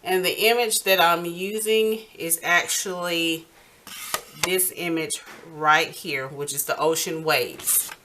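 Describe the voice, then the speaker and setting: A woman hosting a nail art tutorial in casual, step-by-step talk, halting and pausing mid-sentence. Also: fairly low pitch, fairly even intonation, pushed and bright, a little hiss or hum.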